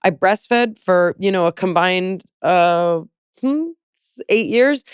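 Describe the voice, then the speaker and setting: A woman's voice: lowish voice, expressive, takes it slowly, clean tone, dry recording, clean studio sound. A woman in her forties hosting an interview, talking candidly and rambling with many fillers. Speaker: podcast host. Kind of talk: casual interview chat.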